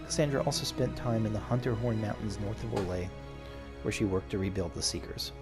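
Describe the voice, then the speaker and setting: A man's voice: on the low side, brisk. A man commenting on a video game playthrough, thinking aloud and reading story text out. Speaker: gamer playing through a video game and commenting. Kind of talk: casual commentary mixed with dramatic reading of on-screen story text. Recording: game music behind the voice.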